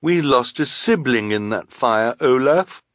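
A man's voice: mid-range voice, animated, measured pace, fairly bright tone, dry recording, clean studio sound, pitched above the performer's own voice. A man voicing a weary, firm henchwoman in a storybook, speaking with resigned resolve. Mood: weary defiance.

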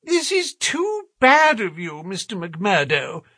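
High-pitched nervous gentleman, genteel, fretful, plaintive, very lively intonation, audiobook character. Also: slow pace, dry recording, clean studio sound, exaggerated voice. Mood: peeved, pleading. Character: fussy, anxious, plaintive gentleman, easily flustered.

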